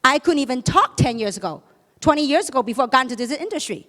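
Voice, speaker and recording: Female seminar speaker, Chinese accent, high voice, emphatic question-and-answer delivery, reverberant hall. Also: expressive, quick pace, pushed and bright. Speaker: motivational business speaker. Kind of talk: motivational sales seminar talk to an audience. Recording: echoey hall, long reverb.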